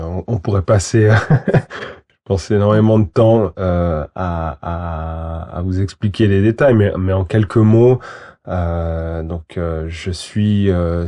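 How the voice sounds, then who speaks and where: A man's voice: low-pitched, dark tone. A man in his thirties from Switzerland, a lawyer answering interview questions in a hesitant way, with many fillers and restarts. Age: 30s. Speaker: guest, lawyer.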